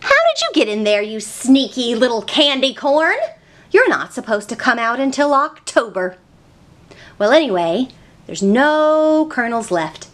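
A woman's voice: a little high, sing-song, a little slow, fairly bright tone. A young woman hosting a children's storytime, explaining things simply in a sing-song way to small children.

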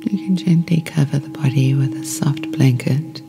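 A woman guiding a sleep meditation in a hushed, breathy, often whispery voice, soothing, in short drawn-out phrases with long pauses. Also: very deep voice. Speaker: guided meditation host. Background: meditation music with singing bowl tones.

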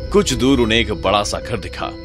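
Guy narrating a spooky cartoon, really lively and up-and-down, music playing loud. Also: on the low side, brisk. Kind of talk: storybook narration.

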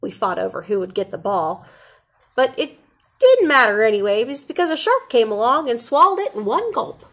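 A woman in her thirties reading a children's picture book aloud in an expressive, deliberate way, like a teacher. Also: a little high. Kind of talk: animated children's storybook read-aloud. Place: indoor classroom.

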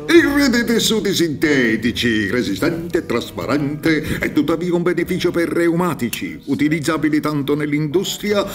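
Boastful, high-voiced travelling salesman. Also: very animated, normal speed, hardly any pauses. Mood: proud. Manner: effusive, bragging, chuckling. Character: boastful, jovial travelling textile salesman, womanizing family man. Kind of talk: radio play acting. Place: dark theatre stage. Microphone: studio microphone on boom arm.

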